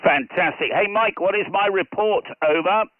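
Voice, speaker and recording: A man's voice: high voice, moderate pace, phone-quality sound, background noise. A middle-aged man operating a ham radio station from a home shack, calling out call signs on a headset and chatting casually. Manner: calls out phonetic call signs loudly, then chats to himself. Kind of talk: live radio contacts and casual commentary.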